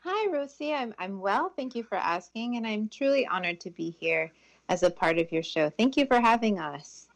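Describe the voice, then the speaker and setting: A woman's voice: mid-range voice, expressive, moderate pace. A woman with an American accent answering interview questions conversationally as a guest physician. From Tampa, United States.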